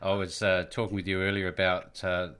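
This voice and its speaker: A man hosting a business interview show over a call, upbeat but pausing with frequent fillers as he sets up questions. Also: low-pitched, completely flat delivery, fairly bright tone, a little hiss or hum. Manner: upbeat, with many "uh" fillers between phrases. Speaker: podcast host. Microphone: remote call.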